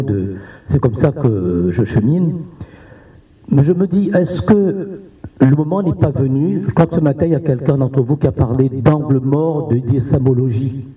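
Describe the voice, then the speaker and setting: A man's voice: mid-range voice, dark tone. A man from Réunion in a conference audience, asking a long, reflective question and repeating his rhetorical questions. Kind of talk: long earnest question from the floor. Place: conference hall. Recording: distant roomy hall sound, dull audio.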